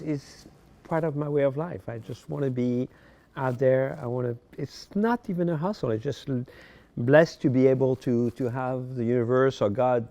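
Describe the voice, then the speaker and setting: A man in his sixties from Morocco, raised in France, telling his life story as a podcast guest in a halting way, often repeating words. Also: mid-range voice, mellow. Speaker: podcast guest, fashion designer. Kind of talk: casual interview storytelling.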